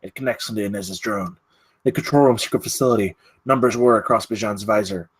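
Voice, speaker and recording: A man's voice: on the low side, a little fast, slightly rough. A man reading stage directions at a screenplay table read, in a dramatic, clipped way.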